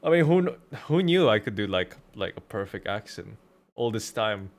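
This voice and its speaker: A man's voice: on the low side, sing-song, normal speed, a little hiss or hum. A man streaming a game with casual, joking, slangy live commentary. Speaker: streamer and amateur voice actor.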